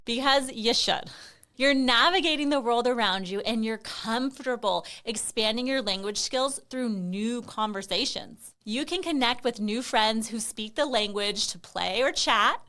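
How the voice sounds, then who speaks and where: A woman's voice: fairly high pitch, bright, forceful tone. A woman giving a scripted promotional explainer for families, speaking in an encouraging, emphatic way. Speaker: company presenter.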